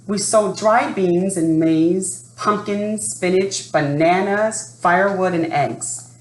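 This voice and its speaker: A woman presenting and reading a picture book to children in an expressive storytelling style, addressing young listeners directly. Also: fairly low pitch. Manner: expressive, asks young listeners questions and pauses. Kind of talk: read-aloud storytelling for children. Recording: roomy sound with faint background noise.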